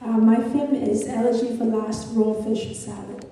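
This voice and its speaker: A woman's voice: on the high side, steady tone, a little slow, warm tone, slight background noise. A woman from Hong Kong, a filmmaker, giving a short, slightly hesitant introduction. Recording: echoey hall, distant mic.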